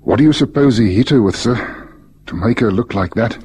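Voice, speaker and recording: An actor playing an earnest, obedient police constable in a radio crime drama, clipped and respectful. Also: male voice, mid-range voice, brisk, mellow, slight background noise, close to an everyday voice. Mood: dutiful.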